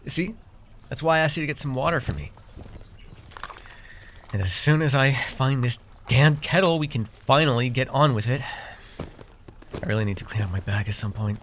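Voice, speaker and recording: A man's voice: mid-range voice, lively intonation, moderate pace, pauses often, a bit bright, slight background noise. A man playing an easily flustered, teasing but caring fae forest guide in a fantasy roleplay, with exasperated banter. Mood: mildly exasperated. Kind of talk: immersive fantasy roleplay ASMR acting.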